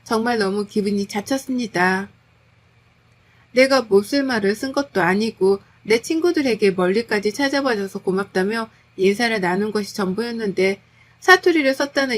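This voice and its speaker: A woman reading a scripted first-person story as its narrator. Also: medium pitch.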